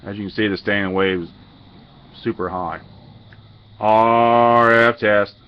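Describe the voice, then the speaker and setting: Low-pitched man, halting, matter-of-fact, casual technical walkthrough, muffled lo-fi camera audio. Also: steady tone, clean tone. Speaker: amateur radio hobbyist demonstrating a modified radio.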